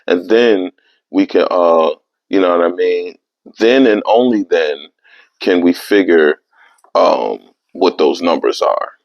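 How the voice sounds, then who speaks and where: A young man hosting his own podcast, talking in a casual, animated way with streetwise slang. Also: sits low, slow, creaky, no echo. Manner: animated, streetwise slang, repeats "you know what I mean". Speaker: podcast host. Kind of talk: casual solo podcast monologue. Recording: dry, slightly dull lo-fi sound.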